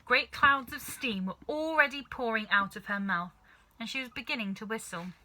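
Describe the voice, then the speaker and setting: A woman reading a children's story aloud in an expressive, dramatic narrating style. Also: a little high, moderate pace, slight background noise, low-quality recording. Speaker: storybook reader.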